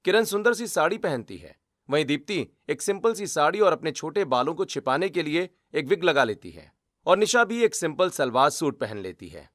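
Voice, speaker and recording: A man's voice: a little high, very animated, normal speed, a bit bright, no echo, clean studio sound. A man delivering scripted storytelling narration as the narrator of a drama.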